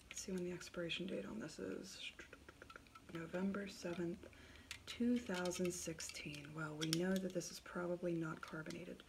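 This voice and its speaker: A woman softly whispering an ASMR doctor roleplay in an unhurried, soothing way. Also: fairly low pitch, vocal fry, noisy background. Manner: hushed, soft-spoken, unhurried, soothing. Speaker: ASMR video host playing a doctor.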